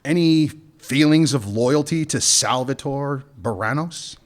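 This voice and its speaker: A man acting a smooth-talking gun runner in a radio play, wry and bargaining, with dry jokes. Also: mid-range voice, a little slow, natural voice. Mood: scheming.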